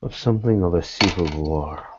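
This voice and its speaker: A man playing a weary, guarded private investigator in a tabletop roleplay, speaking in halting, deliberate, formal phrases. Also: low voice, very noisy, lightly acted. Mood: grave, measured. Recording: dull lo-fi recording.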